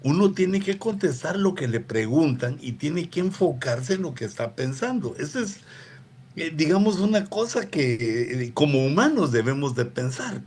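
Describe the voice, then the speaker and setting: A man's voice: fairly high pitch, big pitch swings, darkish tone, slightly rough. Man giving a rambling radio sermon. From Latin America. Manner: unhurried, digressive, with frequent asides to listeners. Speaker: apostle preaching on a radio program. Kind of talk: conversational sermon teaching.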